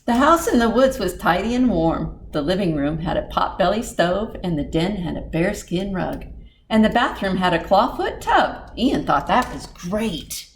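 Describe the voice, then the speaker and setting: Woman, storybook read-aloud, expressive delivery, direct asides to kids, medium pitch, slight ambient noise. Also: moderate pace. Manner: expressive, talks directly to young listeners. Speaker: children's librarian, storytime reader.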